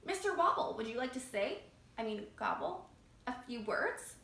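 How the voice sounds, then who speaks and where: A woman's voice: high voice, big pitch swings, normal speed, creaky, bright, forceful tone, recorded from a distance, heavily acted. A friendly farmer calling out heartily, as a woman reader puts him on in a children's storybook. The delivery swings up and down with lots of animation. It rings in an echoey room. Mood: festive. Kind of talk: children's storybook read-aloud. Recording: roomy echo, faint background noise.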